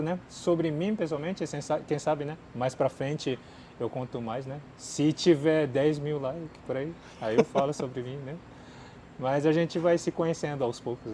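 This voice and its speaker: A Japanese-born man speaking as a second language, casually answering viewer questions with lots of fillers, swinging from lively to quiet mumbling. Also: fairly high pitch, background noise. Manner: chatty, many fillers, drifts between lively and mumbling. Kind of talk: casual chat. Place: outdoors in a city.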